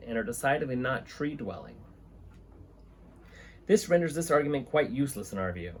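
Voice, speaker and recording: A man in his thirties hosting a hobby video, reading aloud and talking informally to camera. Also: medium pitch. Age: 30s. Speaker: host of a reptile-keeping channel, reading books aloud. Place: small indoor room with reptile enclosures and a fan. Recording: clear background room noise.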